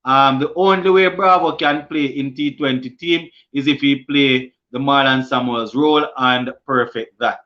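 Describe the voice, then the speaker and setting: A Jamaican man hosting a casual cricket live stream, reading viewer comments aloud and answering them, often halting and restarting mid-sentence. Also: medium pitch, steady tone, pushed and bright, muffled recording. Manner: halting, many restarts and fillers.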